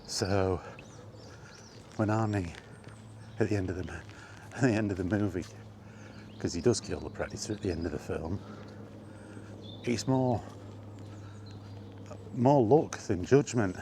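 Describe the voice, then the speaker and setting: Male vlog host, deep-pitched, conversational and casual, talking straight through with asides, faint ambient noise in the background. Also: measured pace, long pauses, warm tone. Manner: conversational, rhetorical questions and asides. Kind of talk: casual film chat monologue.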